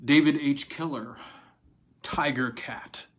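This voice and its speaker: A man narrates an old piece of short fiction in a dramatic storytelling style, phrasing deliberately with pauses. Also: medium pitch, fairly even intonation, husky.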